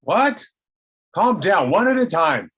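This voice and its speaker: Casting: man as commanding old cartoon villain, slow, deliberate, with dramatic pitch swings. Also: sing-song, fairly bright tone, breathy edge, heavily acted. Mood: exasperated. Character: imperious old villain commanding his dog minions. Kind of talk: amateur table read of an animated film script.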